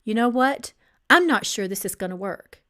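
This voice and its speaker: A woman's voice: mid-range voice, expressive, normal speed, a bit bright, close to an everyday voice. A woman reading a story aloud as a stern engaged man who is resentful, suspicious and firm. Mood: angry. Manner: firm, edgy, incredulous.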